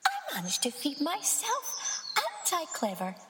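A woman voicing a small frightened baby blackbird girl, high and breathless. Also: wildly swooping pitch, normal speed, bright, forceful tone, heavily acted. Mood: proud. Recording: long reverb, faint noise.

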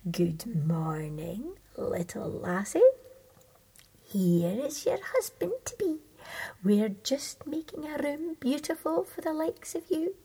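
A woman voicing a scheming old mother toad in a breathy, whispery voice. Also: high voice, sing-song, takes it slowly, warm tone, full character voice. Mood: sly. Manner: whispery, cackling.

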